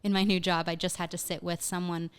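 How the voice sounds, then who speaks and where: Podcast guest: young woman, chatty and upbeat, low-pitched and smooth. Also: level tone, quick pace. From United States. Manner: chatty, upbeat, laughs often, frequent restarts. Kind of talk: casual conversational interview.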